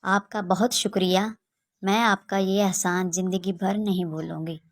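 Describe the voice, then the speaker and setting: A woman's voice: mid-range voice, level tone, unhurried. A woman voicing a principled, grateful young village daughter in a children's moral story, polite and earnest.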